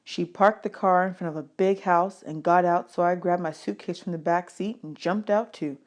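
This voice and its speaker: A woman reading a children's novel aloud as a teacher, in an expressive storytelling style. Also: on the low side, moderate pace.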